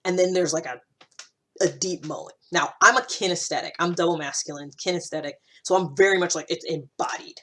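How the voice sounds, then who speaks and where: A woman's voice: lowish voice, fairly quick, bright, forceful tone. A woman talking casually and reflectively to her listeners, thinking aloud in an informal, searching way with emphatic points. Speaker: host of a personal-growth series.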